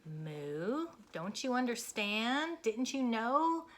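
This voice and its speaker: Storybook cow, female, played by a woman: bossy know-it-all, emphatic, excitable, high swooping delivery. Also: slow. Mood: insistent lecturing. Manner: emphatic, lecturing, exclaiming. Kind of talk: children's storybook character voice.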